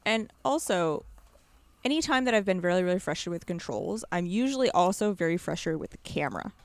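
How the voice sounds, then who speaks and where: A woman with a slightly low voice in a casual podcast chat. She is enthusiastic and emphatic, talking conversationally. The sound is dry, with very little room. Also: very animated, brisk, dry recording. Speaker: podcast co-host discussing video games. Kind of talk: casual chat, game review.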